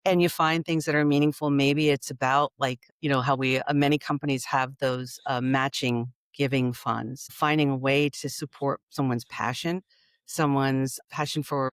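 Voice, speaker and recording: A woman with a Californian accent, a guest in a casual interview, talking things through thoughtfully and trailing off into softer asides. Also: rumbling low, fairly even intonation, normal speed, clear, resonant tone, no room sound. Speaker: podcast guest, culture strategist.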